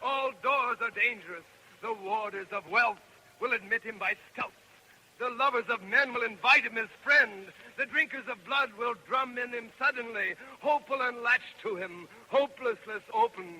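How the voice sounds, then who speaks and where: An exhausted runner bearing dire warning in an old radio play. His very high, piercingly bright voice chants urgent verse in a declamatory way, with faint noise on the recording. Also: full character voice, low-quality recording. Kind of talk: 1930s radio verse drama acting.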